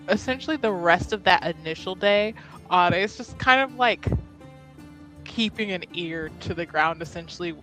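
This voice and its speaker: A woman's voice: fairly low pitch, a bit bright, poor audio. A woman playing a tabletop roleplaying game, describing her character casually in a hesitant, giggly way.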